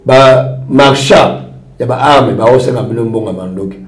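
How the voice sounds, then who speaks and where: A man's voice: mid-range voice, normal speed, roomy sound. A man from Congo, a party leader, answering interview questions in a rambling, repetitive way. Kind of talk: interview answers mixing French and Lingala.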